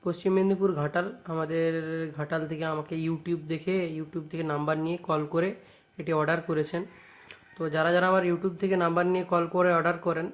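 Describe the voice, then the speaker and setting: A man's voice: fairly high pitch, flat delivery, quick pace. A man with a West Bengali accent casually showing off products as a shop owner vlogging, often adding little checking tag phrases. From West Bengal, India. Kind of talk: casual product showcase vlog.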